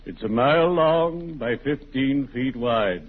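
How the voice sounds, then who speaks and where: An actor playing a gloomy, genteel undertaker with formal, savoring speech and a sepulchral tone, in 1940s radio comedy style. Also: male voice, medium pitch, expressive. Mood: solemn delight. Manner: slow, formal, unctuous, savoring words. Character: gloomy genteel undertaker, morbidly cheerful, drawn-out funereal delivery. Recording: noisy muffled old-time radio recording.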